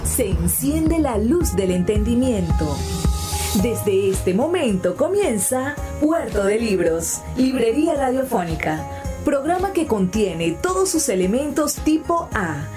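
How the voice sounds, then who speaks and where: A woman's voice: fairly high pitch, very animated, slow pace. A woman announcing a radio program in a scripted intro. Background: music.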